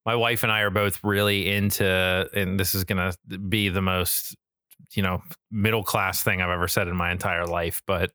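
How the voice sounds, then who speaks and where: Male podcast host, casual and informal, bright forceful delivery, dry close sound. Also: low voice, little pitch movement, normal speed, no room sound. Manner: informal, frequently swearing.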